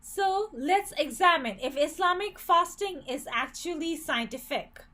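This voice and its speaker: A woman's voice: sits high, animated, a little slow, bright, forceful tone. A woman from Bangladesh giving an earnest, critical opinion commentary, with occasional stumbles and restarts.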